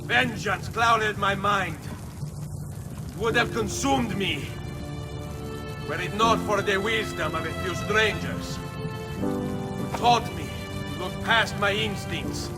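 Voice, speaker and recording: An actor playing a grave, resolute master assassin in a video game, with an Italian accent and a measured, oratorical manner. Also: male voice, high-pitched, over loud music. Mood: resolute, reflective. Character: solemn, resolute male master assassin of Renaissance Florence. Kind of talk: dramatic video game cutscene acting.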